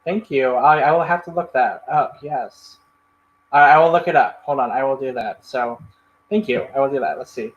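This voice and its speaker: A man's voice: medium pitch, normal speed, roomy sound, highs cut off. A man hosting a casual livestream, reading and answering chat comments in a rambling, friendly way with lots of fillers and restarts. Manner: friendly, thanks viewers often. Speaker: livestream host.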